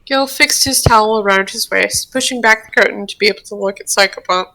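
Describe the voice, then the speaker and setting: A woman's voice: mid-range voice, fairly quick, pushed and bright, rough recording. A woman reading a roleplay story aloud as narrator. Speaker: roleplay reader.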